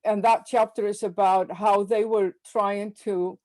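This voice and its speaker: A woman with a mellow, soft-edged voice walks through book chapters at an online academic event. She speaks haltingly, repeating words and adding uhs, heard over a video call. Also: medium pitch, steady tone. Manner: halting, frequent fillers and repeats. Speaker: webinar presenter introducing book chapters. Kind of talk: presenting slides at a webinar.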